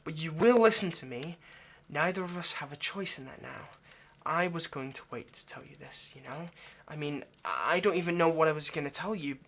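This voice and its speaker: Bright-voiced, hesitant lovesick vampire played by a man. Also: fairly high pitch. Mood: insistent, stern, then nervous, rambling. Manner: hesitant, halting, rambling, coaxing. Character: anxious, lovesick seven-hundred-year-old male vampire kidnapper. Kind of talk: intimate ASMR roleplay monologue addressed to the listener.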